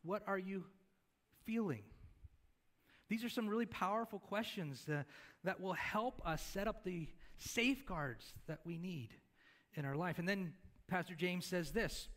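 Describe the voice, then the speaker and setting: A man's voice: high voice, moderate pace, a bit bright. A middle-aged male pastor preaching from a church stage into a headset mic. He talks in a conversational way, asking the congregation questions and gesturing as he moves around. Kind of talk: sermon.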